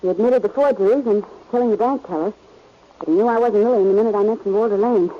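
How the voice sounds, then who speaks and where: An actress playing a plucky, earnest, lightly playful detective's sweetheart in a 1940s radio drama. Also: female voice, mid-range voice, fast, natural voice. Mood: rueful, teasing. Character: plucky, loyal young woman, detective's sweetheart. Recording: muffled, noisy old radio recording.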